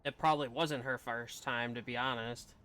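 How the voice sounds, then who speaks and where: A man's voice: mid-range voice, fairly even intonation, normal speed, bright, forceful tone. A man giving casual live commentary as he streams a game playthrough.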